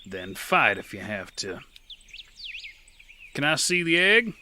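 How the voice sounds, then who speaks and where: A man's voice: mid-range voice, sing-song, slow, pushed and bright, slight background noise, full character voice. A man narrating and playing a rugged, weary gunslinger in an audio drama, with a dry, terse delivery. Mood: steady. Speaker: narrator and lead.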